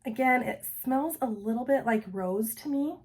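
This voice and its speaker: A woman's voice: a little high, normal speed, a little mellow, husky. A woman chatting casually in a product review, her voice still a little off after losing it to an illness, enthusiastic as she describes each scent. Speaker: vlogger reviewing scented wax.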